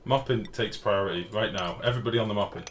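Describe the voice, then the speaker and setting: A man with a deep, booming voice giving casual, sweary live game commentary as a streamer and chatting with viewers. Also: quick pace. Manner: informal, sweary, reads and answers chat. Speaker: game livestreamer. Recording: clear background noise.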